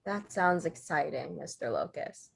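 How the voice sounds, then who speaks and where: A woman plays an inquisitive reporter in an amateur audio drama. Her voice is a little low for a woman, and her delivery is light and good-humoured. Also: a little slow, gravelly, slightly rough, full character voice. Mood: curious, amused. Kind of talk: fan audio drama table read.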